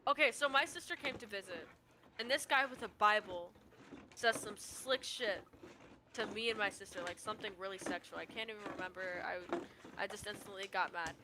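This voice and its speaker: A woman's voice: mid-range voice, brisk, background noise. A young American woman telling stories in casual chat, with a slangy, sweary, rambling manner. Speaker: livestreamer.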